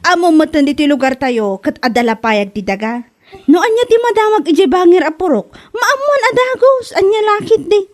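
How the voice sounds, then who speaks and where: A woman's voice: very high voice, lively intonation, moderate pace. A woman playing a nosy, teasing neighbour in a comedy audio drama, chatty and full of gossip. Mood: gossipy. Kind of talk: Ilocano comedy radio drama acting.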